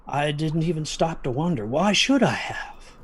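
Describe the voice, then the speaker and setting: An actor playing an anxious, guilt-ridden young son, narrating a story in the first person with literary intensity. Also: male voice, on the high side, lively intonation, normal speed, breathy edge. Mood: urgent.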